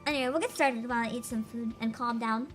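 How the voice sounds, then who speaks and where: A woman's voice: fairly high pitch, fairly even intonation, fast, soft background music. A woman streamer chatting casually and giggling with her viewers, her throat congested from screaming. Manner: chatty and giggly, talks to chat. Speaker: game streamer. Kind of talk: casual live-stream chat.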